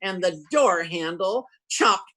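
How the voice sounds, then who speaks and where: A man's voice: sits high, expressive, slow, pushed and bright, heavily acted. A man acts out a blustering radio-comedy husband full of big schemes. His delivery swings widely up and down as he stammers, boasts and muddles along in a folksy 1940s manner. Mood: teasing.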